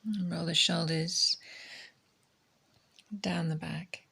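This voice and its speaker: A woman leads a guided meditation as a yoga teacher. Her voice is low and very even, with little rise or fall and a creaky edge. She speaks softly and gently, often trailing off into a near-whisper. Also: slow. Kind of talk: guided meditation, soft spoken instruction.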